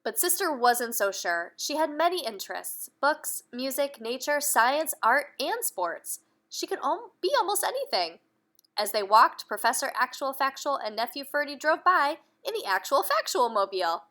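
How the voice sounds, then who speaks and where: A woman reading a children's story aloud in an animated manner, pausing to put questions to young listeners. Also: sits high. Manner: animated, addresses children directly. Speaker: picture-book reader.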